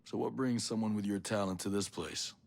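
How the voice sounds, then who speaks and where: A man playing a gruff, wry special-ops soldier in a video game cutscene, dry and guarded in manner. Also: lowish voice, brisk, a little mellow. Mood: cool, curious.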